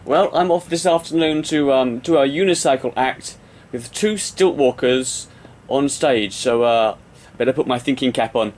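A man's voice: mid-range voice, moderate pace, darkish tone. A middle-aged man hosting a podcast, chatting casually about working as an entertainer. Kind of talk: casual interview chat. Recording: roomy, echoing room.

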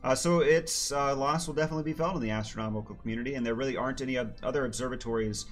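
A man in his thirties, slightly hoarse, hosting a casual science livestream with plenty of "uh" fillers. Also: mid-range voice, racing, soft background music. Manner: friendly, filler-heavy with many "uh"s. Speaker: planetarium educator hosting a livestream. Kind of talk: casual live-stream talk.

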